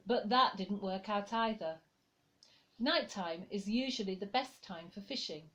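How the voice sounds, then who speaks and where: A woman reading a written church reflection aloud in a gentle, conversational way. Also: medium pitch. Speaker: lay reader.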